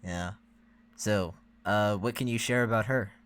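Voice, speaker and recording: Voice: medium pitch, unhurried, clear, resonant tone. An easygoing co-host chatting casually and reassuringly during a reaction.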